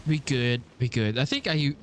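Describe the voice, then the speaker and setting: A man doing a casual game playthrough, reading the on-screen text aloud in a halting way. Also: medium pitch, expressive, a little hiss or hum. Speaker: game streamer.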